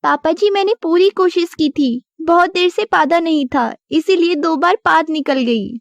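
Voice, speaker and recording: Woman as high-voiced, childlike young daughter. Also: a little slow, vocal fry, no echo, full character voice. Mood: apologetic. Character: sweet, obedient young marriage-age daughter. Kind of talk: animated moral-story dialogue.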